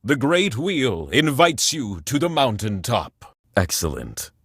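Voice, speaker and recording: A man's voice: medium pitch, big pitch swings, takes it slowly, a bit bright, breathy edge, dry recording, clean studio sound, full character voice. A man voicing a solemn, grand mystical herald in a video game, speaking in a stately, ceremonious way. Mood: solemn, pleased. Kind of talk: theatrical video game voice-over.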